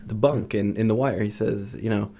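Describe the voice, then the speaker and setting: A man's voice: on the low side, steady tone, moderate pace, gravelly, warm tone. A man speaking casually and informally as a guest on a podcast. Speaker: podcast guest, video journalist. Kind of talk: casual chat.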